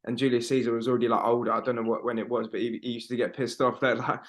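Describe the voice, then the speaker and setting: A young man in his twenties on a video call from home. He rambles and presses his points hard, swearing often and tossing in "bro" at every turn. His voice sits at an ordinary middle pitch. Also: flat delivery, rapid-fire. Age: 20s. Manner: rambling, emphatic, swears a lot, fillers like "bro". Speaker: podcast co-host. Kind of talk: casual chat.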